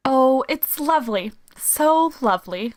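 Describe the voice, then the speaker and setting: A woman's voice: high voice, animated, unhurried. A woman playing an eager, sweet-natured teenage daughter in a radio drama, speaking in an earnest, girlish way. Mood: tender. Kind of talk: vintage radio drama acting.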